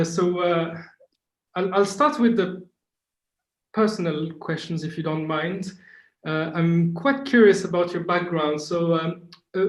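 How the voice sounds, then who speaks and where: A man's voice: high-pitched, roomy sound, low-quality recording. A man, a lecturer, moderating a Q&A over a video call, with many fillers and hesitations. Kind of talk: hosting a Q&A.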